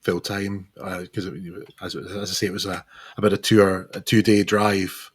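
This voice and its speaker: A man with a hoarse, recovering voice, chatting as a musician guest in an interview, hesitant and full of restarts. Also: deep voice, level tone, normal speed. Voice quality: hesitant, lots of fillers and restarts. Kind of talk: casual interview chat.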